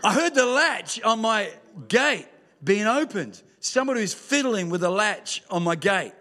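A pastor with a high voice preaches to his congregation. He tells stories with humour and punches key lines with a raised voice. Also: measured pace. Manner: lively storytelling with humour, rising to shouts. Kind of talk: sermon. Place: church hall with screen.

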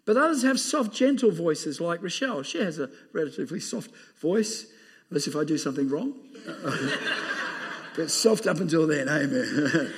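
A man with an Australian accent and a loud, booming voice, preaching an emphatic sermon to a church congregation. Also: husky. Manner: emphatic, laughing, exclaiming amen. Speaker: pastor.

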